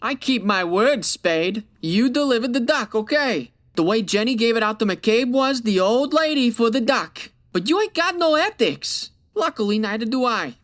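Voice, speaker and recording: A woman puts on a tough gangster boss for a radio comedy. The voice is fairly high and animated, full of menacing streetwise slang. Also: moderate pace, hardly any pauses, close to an everyday voice. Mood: menacing, smug. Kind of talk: old-time radio comedy drama acting.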